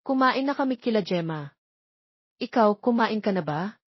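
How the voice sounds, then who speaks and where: A woman's voice: mid-range voice, expressive, normal speed, husky, no echo, muffled recording. A woman voicing a friendly, trusting young woman in an audio story, polite and cheerful.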